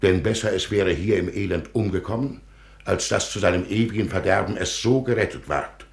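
Creaky, deep old zealot patriarch. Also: male voice, a little fast. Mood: stern, adamant. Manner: pompous, preaching, emphatic. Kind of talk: 1950s German radio play acting. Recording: echoey 1950s radio studio recording.

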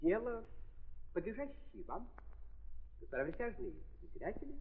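An actor playing a smug, dim-witted prosecutor, orating pompously and haltingly in a theatrical 1930s radio drama style. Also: male voice, high voice, big pitch swings. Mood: pompous, declaiming. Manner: pompous, halting, repeats filler phrases. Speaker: prosecutor in a courtroom. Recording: dull old 1930s recording.

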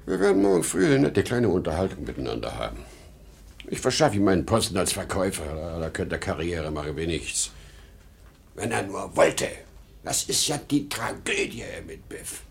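A man's voice: sits low, sing-song, normal speed, gravelly, exaggerated voice. A man playing an irritable, nostalgic, weary ageing salesman and father in a 1950s German radio drama, swinging between indignant outbursts and brooding murmurs. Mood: grudging, musing.

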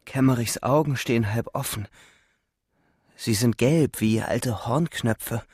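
An actor playing a sombre young soldier who recounts the trenches in a literary radio drama, measured and grave. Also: male voice, mid-range voice, a little mellow, husky, dry recording, clean studio sound. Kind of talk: literary first-person radio drama narration.

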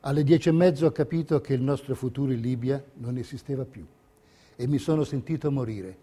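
A man narrating a documentary theatre piece, reading a script in measured, carefully separated phrases. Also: medium pitch, mellow, husky.

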